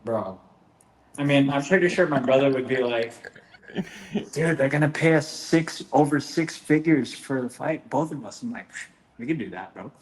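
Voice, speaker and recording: A man's voice: medium pitch, expressive, moderate pace. Rambling male fighter in an echoey room. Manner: joking, rambling, trails off quietly. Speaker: fighter, guest. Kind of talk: casual interview chat. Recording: echoey room, faint background noise.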